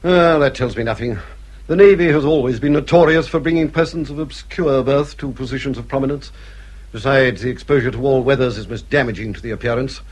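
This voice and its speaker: An actor playing a vain, haughty, middle-aged English baronet in a radio period drama, drawling with pompous disdain. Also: male voice, mid-range voice, fairly quick, lightly acted. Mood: disdainful.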